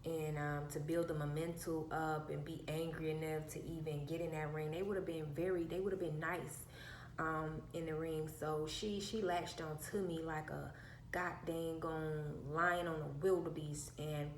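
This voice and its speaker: Soft, childlike-voiced woman telling a story. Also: low-pitched, fairly even intonation, measured pace. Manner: emphatic, repeats herself for effect. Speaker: vlogger. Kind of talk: casual personal storytelling. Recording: roomy echo, faint background hum.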